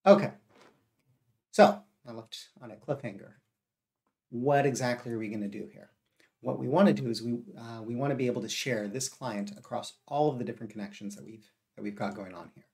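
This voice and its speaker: Man, head cold, gravelly, mid pitch, live coding explanation, keyboard clicks behind. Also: fairly quick. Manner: steady explaining with frequent uh and self-corrections. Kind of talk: thinking aloud while live coding.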